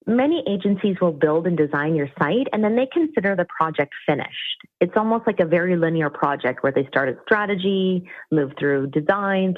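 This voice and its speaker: Steady explanatory woman, business guest, thin phone-quality audio, no room sound. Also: fairly low pitch, no echo. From Costa Rica. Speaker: web agency founder. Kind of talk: interview answers. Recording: tinny phone-like line, dry.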